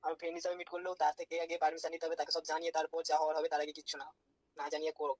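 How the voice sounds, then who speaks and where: A man's voice: on the high side, little pitch movement, very fast. A young man talking bluntly and informally on a phone call. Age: young adult. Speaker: prank call target.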